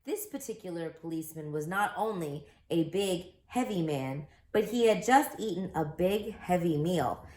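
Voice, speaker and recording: A woman reading a children's book aloud as an expressive storyteller, recorded in an echoey room. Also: on the low side, normal speed, few pauses.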